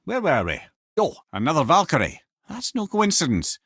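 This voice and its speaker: A man's voice: on the high side, huge pitch swings, moderate pace, vocal fry, fairly bright tone, husky, no echo, studio quality, full character voice. An actor voicing a witty, learned old male sage and storyteller in a video game, with a Scottish accent and a wry, erudite way of talking. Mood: wry, teasing, then urgent, animated.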